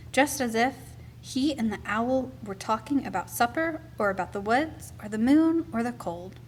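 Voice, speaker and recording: A woman reading a children's picture book aloud as expressive, deliberate storytelling. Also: a little high, slight background noise.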